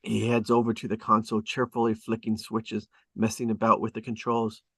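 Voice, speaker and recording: A man's voice: on the low side, monotone, fairly quick, a bit bright, husky, dry recording, clean studio sound. A man narrating a script at a table read, reading stage directions dramatically in short, clipped phrases.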